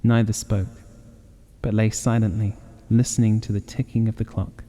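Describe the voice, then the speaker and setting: A man's voice: fairly low pitch, little pitch movement, normal speed, mellow. A man narrating a horror audiobook in a dramatic, scripted reading style.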